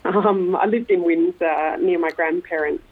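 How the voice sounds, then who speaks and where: An Australian woman in her forties from Melbourne, an electrical engineer recalling her upbringing in a reflective interview, often pausing with "um". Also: fairly low pitch. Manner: reflective, frequent "um" pauses. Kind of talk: conversational interview. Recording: tinny phone-line sound.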